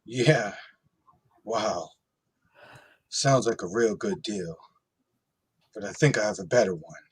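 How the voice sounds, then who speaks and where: A man reading a young hacker under pressure in a radio play, with a husky voice and a casual, blunt delivery. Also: on the low side, slow, exaggerated voice. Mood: defiant.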